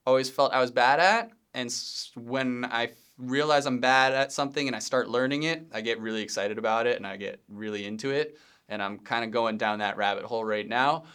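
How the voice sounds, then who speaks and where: A man in his thirties from Pennsylvania, hosting a casual solo podcast from his home studio in a relaxed, rambling way with many ums and self-corrections. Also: mid-range voice, clean tone. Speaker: podcast host, video editor.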